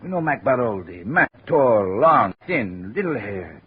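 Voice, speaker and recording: An excitable French steelworker. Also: male voice, mid-range voice, unhurried, slightly rough, heavily acted. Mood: effusive. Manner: broken English, emotional, flaring into anger. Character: excitable middle-aged male French steelworker. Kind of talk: 1950s radio drama acting. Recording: muffled old radio broadcast.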